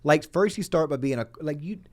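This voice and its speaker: A man hosting a podcast, telling investing stories in a casual, animated way and acting out the people he quotes. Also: fairly high pitch, brisk. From Florida. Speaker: podcast host.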